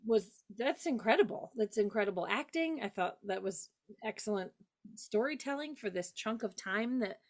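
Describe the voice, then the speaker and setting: A woman chatting casually as a panelist, expressive and emphatic, acting out little quoted reactions. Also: medium pitch, normal speed. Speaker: podcast panelist. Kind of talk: casual chat.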